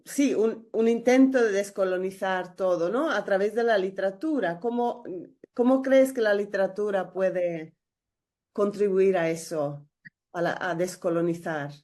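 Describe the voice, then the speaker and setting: A woman with a medium-pitched voice conducts a casual interview. She builds her questions slowly out of hesitant fragments, restarting as she goes. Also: warm tone.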